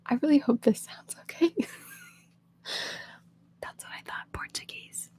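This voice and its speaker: A young woman from Oklahoma, an ASMR host, chatting in a soft whisper with viewers on a live stream, answering their questions and laughing often. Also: measured pace. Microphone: phone.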